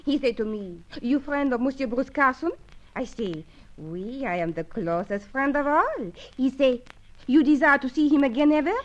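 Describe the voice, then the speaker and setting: An actress playing a flirtatious, jealous cabaret dancer with a French accent, speaking coquettish broken English in a melodramatic radio serial. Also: female voice, sits high, normal speed, close to an everyday voice. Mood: coy, dramatic. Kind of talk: old-time radio serial acting. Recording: dull lo-fi old radio recording.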